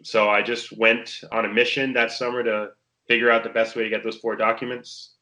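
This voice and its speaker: A man in his thirties from the Bahamas, a pro basketball player telling his story as a podcast guest in a relaxed way, with lots of "like" fillers. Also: medium pitch, a little fast. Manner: relaxed, many "like" fillers, laid-back storytelling. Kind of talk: casual interview chat.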